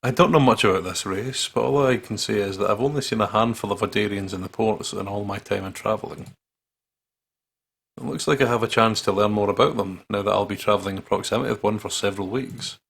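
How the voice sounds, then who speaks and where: A man with a husky, gravelly voice playing a visual novel, reading the text aloud and joking in a playful way. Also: on the low side, quick pace, fairly bright tone. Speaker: let's play host reading the game aloud. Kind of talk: dramatic read-aloud with joking commentary.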